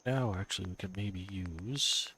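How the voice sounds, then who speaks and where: A man's voice: sits low, a little slow, pushed and bright, slight background noise. A man with a cold, stuffy and sniffly, casually thinking aloud as he builds in a game on a live stream. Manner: thinks aloud, muttering to himself and chuckling.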